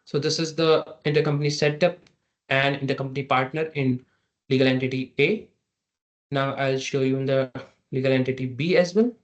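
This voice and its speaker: A man giving a halting, step-by-step software tutorial as a trainer over an online meeting. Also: medium pitch, steady tone. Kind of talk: screen-share software tutorial. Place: online meeting with screen share. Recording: dull, lo-fi online meeting audio.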